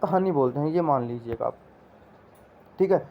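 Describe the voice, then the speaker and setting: Man, high voice, exam-prep teacher, repetitive drilling delivery, muffled low-fidelity recording. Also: expressive, moderate pace. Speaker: Hindi teacher for exam preparation. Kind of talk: instructional lecture with memory tricks. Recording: dull lo-fi audio.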